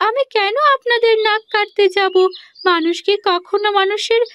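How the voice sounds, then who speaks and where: Very high-pitched naive young village wife. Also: female voice, moderate pace, fairly bright tone, no room sound. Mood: naive, puzzled. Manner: naive, wide-eyed questioning. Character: foolish, naive young village wife, earnest and literal-minded. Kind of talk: cartoon voice acting.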